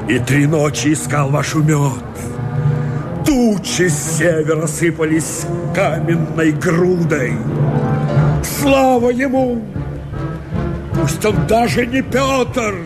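Radio verse drama: frenzied runaway convict, high voice, impassioned theatrical declamation, very slow. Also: male voice. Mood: frenzied, desperate. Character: frenzied escaped convict rebel, desperate and wildly determined. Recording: studio radio play with loud music.

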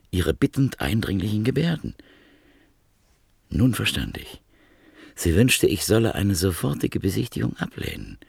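An actor narrating a radio play as a reflective art dealer from Berlin, measured and thoughtful. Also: male voice, low voice, gravelly, slightly rough, no room sound, clean studio sound, full character voice.

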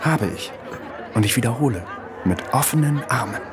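Actor as smooth, insinuating regime emissary amid chatter. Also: male voice, mid-range voice, lively intonation, normal speed, close to an everyday voice. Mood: urgent, persuasive. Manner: polite, deferential, smoothly persuasive. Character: flattering, insistent male Nazi government emissary, coolly insinuating. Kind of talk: German radio drama acting.

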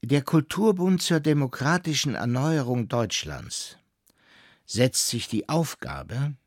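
A man's voice: medium pitch, big pitch swings, takes it slowly, no echo, studio quality. Man solemnly declaiming a manifesto. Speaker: reader of a public manifesto. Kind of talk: formal declamatory reading.

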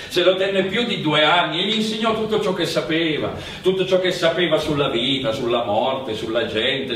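A man narrating a theatrical monologue in emphatic, measured phrases. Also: medium pitch, fairly bright tone. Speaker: stage actor and storyteller. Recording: very echoey, distant, faint noise.

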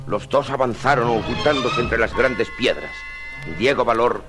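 A male narrator with a medium-pitched voice tells a story solemnly. It has the scripted drama of 1950s radio, with music playing behind. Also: a little slow.

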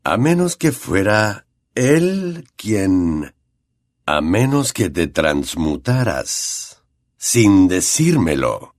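A man voicing a weary, gentle male teacher who is secretly a werewolf, speaking quietly and calmly. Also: sits low, very slow, dry recording, clean studio sound, exaggerated voice. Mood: stunned. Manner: quiet, calm, soothing.

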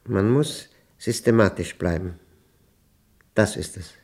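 A methodical, troubled professor in a radio play. His voice sits fairly low and moves very slowly. Each phrase is set down as a precise, pedantic fragment, like a private diary of nightmares. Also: a little mellow. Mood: pedantic, uneasy. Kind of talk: German radio play monologue.

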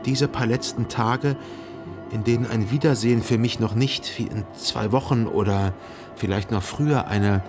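An actor playing a melancholy, self-deceiving gentleman, a jilted lover narrating a literary radio drama in a measured, formal and carefully articulated way. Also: mid-range voice, fairly even intonation, over music, close to an everyday voice. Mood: restrained, wounded. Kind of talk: literary radio play narration, reading a letter.

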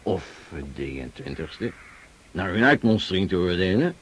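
A gruff, commanding cavalry officer in a radio play, brisk and easily amused. His pitch moves a great deal as he fires out orders and questions. There is some noise on the old recording. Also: very animated. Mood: stern, commanding. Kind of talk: Dutch radio drama acting.

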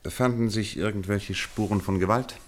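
A man acts out a shrewd detective in a radio drama, with a low voice. He is courteous yet probing, weighing each question in a measured, thoughtful manner. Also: fairly even intonation, close to an everyday voice. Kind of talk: German radio play acting.

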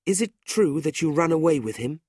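A man's voice: fairly high pitch, expressive, a little fast, darkish tone, husky, dry recording, studio quality, voice pushed up. A man voicing an anxious young woman pleading with her brother, in a storybook reading.

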